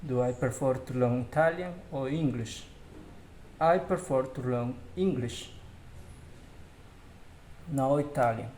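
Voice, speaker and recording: A man whose first language is Spanish, slowly reading English question-and-answer drills aloud as a learner, with small grammar slips. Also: mid-range voice, darkish tone, a little hiss or hum. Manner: slow, careful practice reading with slips.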